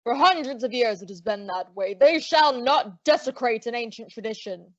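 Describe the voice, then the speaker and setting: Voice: mid-range voice, animated, normal speed, no room sound, natural voice. An actor playing a defiant, old-fashioned village man in a radio thriller, with archaic, indignant delivery. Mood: defiant, wary. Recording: dry, dull lo-fi audio.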